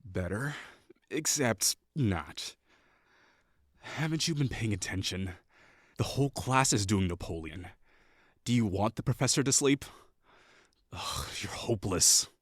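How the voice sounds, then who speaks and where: A man's voice: lowish voice, expressive, unhurried, pauses often, no echo, clean studio sound. A young man voicing a prickly, condescending perfectionist classmate in an audio roleplay, talking in a bossy, sarcastic way. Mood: cold, condescending. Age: young adult. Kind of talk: scripted roleplay acting.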